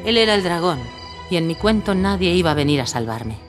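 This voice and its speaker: A woman's voice: fairly low pitch, big pitch swings, fairly quick, music in the background. A woman playing a poised, clever young actress and wife in a Spanish audio drama. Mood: reflective.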